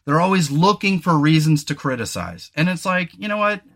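Male podcast host, higher-pitched and bright, chatty and profane, casual banter. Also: lively intonation, fairly quick. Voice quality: deep booming voice. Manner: talkative, swears casually, self-deprecating. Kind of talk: casual chat.

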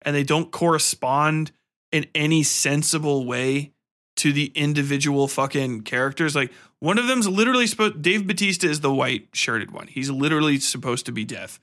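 Guy podcaster ranting about a movie, kinda high sharp voice, blunt and sweary. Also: animated, normal speed. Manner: blunt, irritated, swears constantly. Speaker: podcast host. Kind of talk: casual, profane film review rant.

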